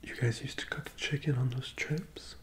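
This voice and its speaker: Male, breathy whisper, ASMR role-play, gentle psychic medium, hesitant soothing delivery, close mic. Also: mid-range voice, steady tone, moderate pace.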